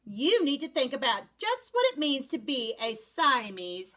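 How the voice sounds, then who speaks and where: A woman's voice: sits high, sing-song, measured pace, sharp tone, full character voice. A woman teacher voicing a bossy mother cat who scolds her kitten in a stern, emphatic way. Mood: scolding.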